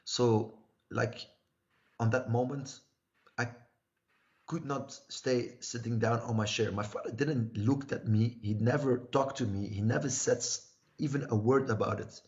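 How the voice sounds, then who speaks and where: A man in his thirties from Belgium, telling his life story as a livestream guest in a halting way, searching for words. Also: mid-range voice, fairly even intonation, darkish tone. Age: 30s. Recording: muffled lo-fi livestream audio.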